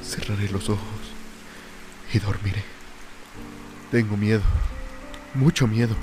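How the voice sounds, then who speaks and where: An actor playing a lonely, tormented drunk sinking into fear and madness in a brooding, theatrical radio drama monologue. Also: male voice, on the low side, steady tone, measured pace, music in the background, close to an everyday voice. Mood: brooding, melancholic. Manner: brooding, theatrical first-person soliloquy.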